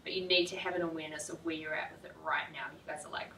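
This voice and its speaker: A woman's voice: low-pitched, little pitch movement, racing, noisy background. A young woman entrepreneur talking in a casual vlog and teaching a workshop, upbeat and gushing with lots of fillers. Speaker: entrepreneur and speaker. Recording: echoey, distant from the mic.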